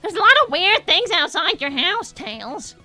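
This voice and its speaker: Very high, bright cartoon hedgehog girl. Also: moderate pace, faint music behind, full character voice. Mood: exasperated. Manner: animated, indignant, dramatic. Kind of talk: improvised in-character livestream banter, reading viewer messages.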